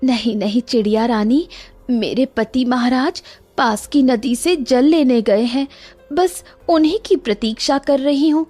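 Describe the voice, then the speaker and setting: A gentle cartoon queen, played by a woman, with a high, earnest voice. Her manner is pleading and apologetic, as in a moral fairy tale. Faint music runs underneath. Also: a little slow. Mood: gentle, reassuring.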